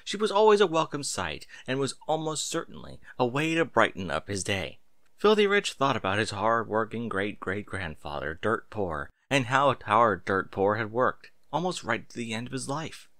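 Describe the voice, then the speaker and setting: Expressive male storyteller, lowish and bright. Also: normal speed, no echo, studio quality. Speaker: audiobook-style story reader. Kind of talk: expressive scripted narration of fan fiction.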